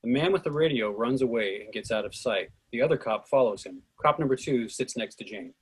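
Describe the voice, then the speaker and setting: A man reading stage directions in an even, measured way for a script reading. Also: medium pitch, husky.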